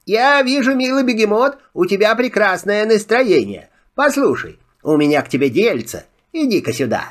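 A sly sing-song rabbit. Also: male voice, sits high, normal speed. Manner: wheedling, flattering, sing-song. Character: sly, chatty little male rabbit trickster. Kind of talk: filmstrip audio drama.

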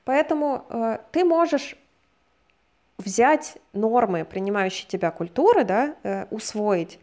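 A woman from Russia explains a concept in conversation, steady and patient in the way a lecturer would be. She hedges often as she goes, picking her words carefully rather than rushing. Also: on the high side. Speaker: guest expert on migration.

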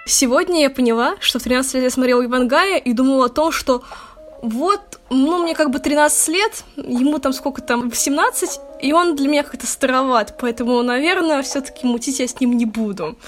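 A woman's voice: high voice, a little fast, soft background music. A woman of twenty co-hosting a podcast, telling stories in a chatty way with slang. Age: 20s. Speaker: podcast co-host. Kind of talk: casual chat.

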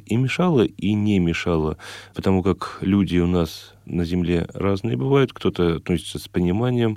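A man's voice: lowish voice, darkish tone, dry recording. A man giving reflective, conversational answers as a guest in a radio studio interview.

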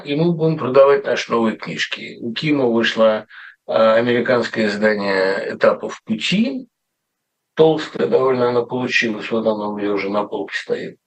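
A man's voice: mid-range voice, poor audio. A middle-aged male writer talking in a casual, rambling monologue, often correcting himself with wry asides. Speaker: writer commenting on a radio show.

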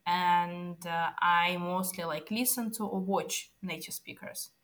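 A woman's voice: lowish voice, a little slow, fairly bright tone. A woman whose first language is Russian, chatting casually in English with hesitant fillers and frequent laughs. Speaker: conversation participant. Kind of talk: casual chat.

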